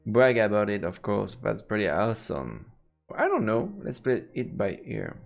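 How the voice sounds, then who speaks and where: A man with a gravelly voice doing casual let's play commentary and reading game text aloud as he streams. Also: fairly low pitch, huge pitch swings, moderate pace. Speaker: streamer playing a visual novel. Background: game music.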